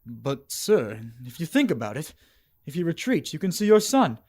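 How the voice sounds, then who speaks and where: A man's voice: fairly high pitch, very animated, normal speed, a little mellow. A man playing a young, deferential military aide in a fantasy animation, speaking in a polite, hesitant, pleading manner. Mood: worried, pleading. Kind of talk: animated fantasy drama acting.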